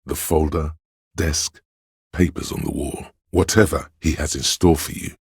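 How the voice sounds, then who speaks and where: Game narrator: solemn, loyal cop's-instinct voice, rock-bottom bass with heavy gravel, husky, literary storytelling delivered with dry wit. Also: male voice, fairly even intonation, unhurried, dry recording, heavily acted. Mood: grave, watchful. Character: grave, watchful inner voice of police camaraderie, wry and loyal.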